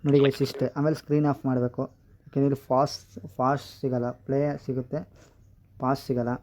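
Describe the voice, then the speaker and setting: Fast, flat-toned Kannada man. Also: mid-range voice. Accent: Kannada, southern Indian. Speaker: YouTube tutorial host. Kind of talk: casual screen-recorded app demonstration. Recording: dull lo-fi audio with background noise.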